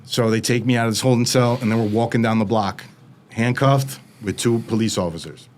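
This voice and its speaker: A younger man from the United States, telling his story as an interview guest in fast, animated casual talk, slipping in quoted voices. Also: mid-range voice, slight background noise.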